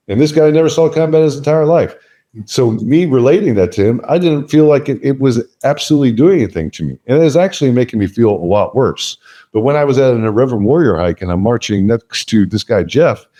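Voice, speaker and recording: A man talking as an interview guest, emphatic and blunt, swearing freely in casual talk. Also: mid-range voice. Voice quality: loud voice. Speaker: podcast guest, veteran business leader.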